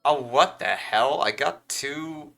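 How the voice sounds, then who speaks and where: A man's voice: fairly high pitch, slow, vocal fry, sharp tone, faint music behind. A young man giving casual livestream commentary as he plays a game. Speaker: game streamer.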